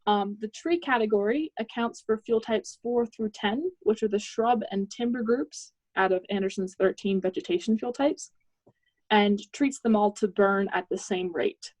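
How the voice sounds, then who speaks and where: Young woman, mid-pitched, student presenter. Measured technical explanation, recorded very dry without room sound. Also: a little mellow, no room sound, poor audio.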